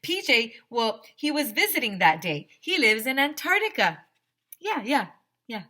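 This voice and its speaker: A woman's voice: fairly high pitch, sing-song, measured pace, pushed and bright, voice pushed up, full character voice. A woman voicing a young schoolboy in a storybook, nervously stammering through excuses. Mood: nervous, evasive. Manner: stammering, hesitant, improvising excuses. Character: young schoolboy nervously fibbing to his principal. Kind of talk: children's chapter book read-aloud.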